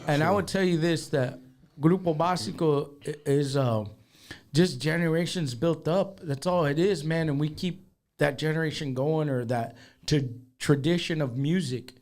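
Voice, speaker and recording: A man, a guest from a band in a casual chat. His voice is a touch high for a man. He speaks earnestly and slows down when he makes a point. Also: a little mellow.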